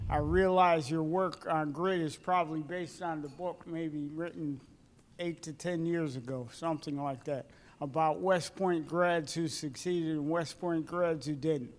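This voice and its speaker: A man's voice: a little high, fairly even intonation, a little slow. A man in a conference audience thinking aloud as he asks a question, affirming the answers with short replies. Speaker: audience member.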